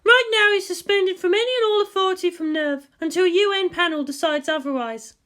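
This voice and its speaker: A woman's voice: extremely high pitch, a little fast, a bit bright, pitched above the performer's own voice. A woman voicing an exasperated but protective military guardian, emphatic and wearily authoritative, in a story reading. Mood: irritated, firm.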